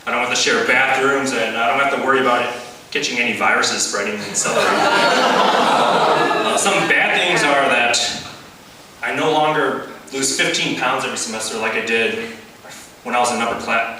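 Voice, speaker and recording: A young man in his twenties doing deadpan stand-up comedy at a student open mic. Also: medium pitch. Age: 20s. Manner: deadpan running gags. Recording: echoey room from far off with loud crowd noise.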